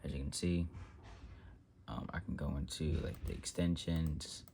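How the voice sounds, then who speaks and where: Deep-voiced man giving a casual tech walkthrough. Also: steady tone, measured pace, gravelly, a little mellow, slight background noise. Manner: rambling, trailing off with repeated filler phrases. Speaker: tech vlogger demonstrating an office phone system.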